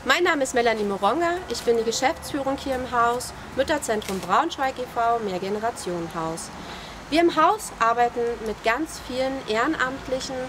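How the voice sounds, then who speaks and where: A woman in her thirties runs a community centre and speaks fluently and assuredly, a little high in pitch. She is outdoors, and the open-air ambience is clearly heard behind her. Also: lively intonation, moderate pace, background noise. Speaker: managing director of a community centre. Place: outdoors in a garden.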